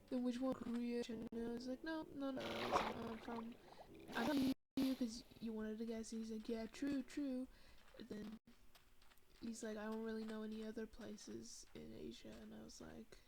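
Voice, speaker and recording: A woman's voice: on the high side, level tone, normal speed, gravelly, background noise. A young woman from Thailand streaming a game, telling a story in a chatty, rambling way full of fillers and asides. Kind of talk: casual storytelling while gaming.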